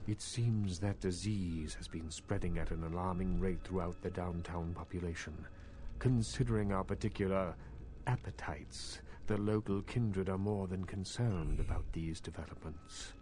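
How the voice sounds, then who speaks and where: An actor playing a scheming, cultured elder male vampire in a video game, speaking in formal, measured, condescending phrases. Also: low voice, natural voice. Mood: grave, disdainful. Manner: formal, measured, courtly speech. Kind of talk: video game character dialogue. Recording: loud game music behind. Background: music.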